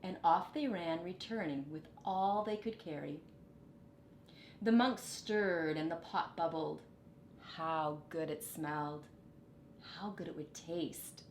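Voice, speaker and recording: A woman reading a children's story aloud with expressive, dramatic phrasing. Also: takes it slowly. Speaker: storybook reader. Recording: echoey room, faint low rumble.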